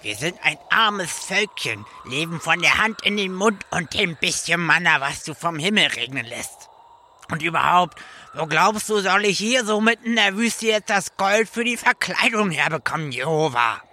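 Exasperated desert prophet, fairly high voice, very sharp, nagging, sarcastic, whiny, comic radio drama. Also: male voice, lively intonation, moderate pace, a little hiss or hum, heavily acted. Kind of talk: comic radio play acting.

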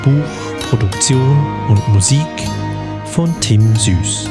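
A man reading the closing credits of a German radio play as scripted, measured announcement. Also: mid-range voice. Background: plucked guitar music.

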